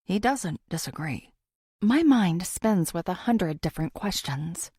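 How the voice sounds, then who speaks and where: A woman reading a first-person thriller audiobook in a dramatic, expressive way. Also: on the low side, measured pace, husky, no echo, studio quality. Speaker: audiobook narrator.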